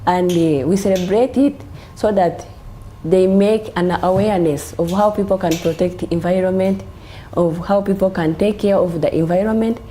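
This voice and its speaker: Mellow woman explaining carefully. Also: on the low side, normal speed, slight background noise. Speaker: panel guest. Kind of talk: panel discussion answer.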